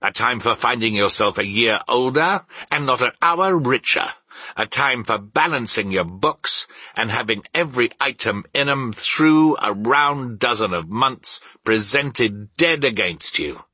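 A man voicing a grumpy, scornful old miser uncle in a storybook reading, stern and indignant, with a rough growl. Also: medium pitch, lively intonation, unhurried, bright, forceful tone, no echo, voice pushed up, exaggerated voice. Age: older.

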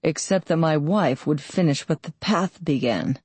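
A wounded bard read by a woman talks with weary, playful irony. The voice is low and mellow, a wry storybook hero. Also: lively intonation, measured pace, husky, dry recording, heavily acted. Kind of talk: audiobook character voice.

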